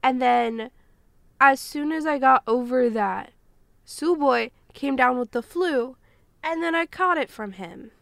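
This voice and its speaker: Female podcast co-host, high creaky voice, stuffed-up with a cold, casual chat, close dry sound. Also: slow pace, dry recording. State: congested, sniffly from a cold.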